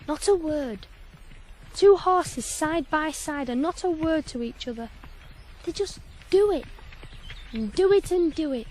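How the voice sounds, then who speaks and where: A man's voice: very high-pitched, expressive, measured pace, pauses often, darkish tone, a little hiss or hum, poor audio. A teenage male actor playing a headstrong, lonely farm lad in a radio drama, intense and stubborn. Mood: brooding, resolute. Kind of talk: 1980s radio drama acting.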